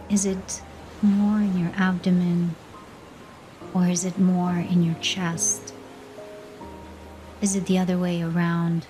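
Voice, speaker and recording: A woman guides a meditation at a slow pace, with a mellow, relaxed tone and a middle pitch. She speaks gently in short, soothing phrases with pauses between them. Soft music plays behind her. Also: low-quality recording. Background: background music.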